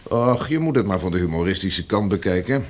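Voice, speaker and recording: A man's voice: deep voice, lively intonation, a little fast. A man in a radio drama playing a cheery, slangy working-class henchman guarding a captive with offhand ease. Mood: jaunty, amused.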